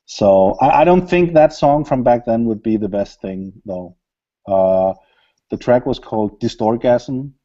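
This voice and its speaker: Dark-voiced man, muffled and lo-fi. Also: mid-range voice, a little slow. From Denmark. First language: Danish. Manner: relaxed, rambling, many fillers and laughs. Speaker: electronic music producer, guest. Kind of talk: casual interview chat.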